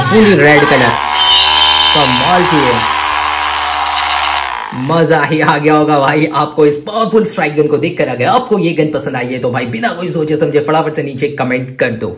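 A man with an Indian accent presenting products to viewers in an excitable, gushing way, piling up superlatives. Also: fairly high pitch, moderate pace, heavy background noise. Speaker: toy reviewer and presenter. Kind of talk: enthusiastic product showcase to viewers.

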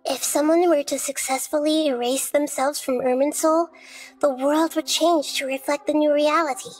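A woman voicing a gentle child-like girl deity in a video game, speaking in a measured, articulate, reflective way. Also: sits high, steady tone, lightly acted, low-quality recording. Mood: thoughtful. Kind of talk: scripted game dialogue. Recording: game music behind.

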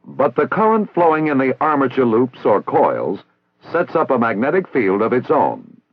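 American training film narrator, dull vintage soundtrack. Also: male voice, mid-range voice, very animated, husky. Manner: formal, measured, didactic. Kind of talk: scripted instructional narration. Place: recorded voice-over.

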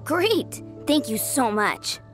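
A woman's voice: sits high, sing-song, slow pace, heavily acted. A woman voicing an earnest, modest and eager young aspiring knight in a video game. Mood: apologetic, grateful. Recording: game music behind the voice.

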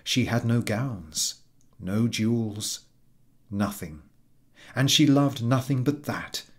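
A man narrating a literary story as an audiobook reader, measured and expressive. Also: mid-range voice.

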